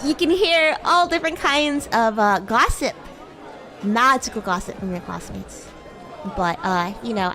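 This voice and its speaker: A woman streamer improvising a roleplay as a bratty demon schoolgirl classmate, with a playful, teasing, giggly manner. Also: a little high. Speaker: VTuber streamer. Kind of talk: improvised roleplay livestream chat.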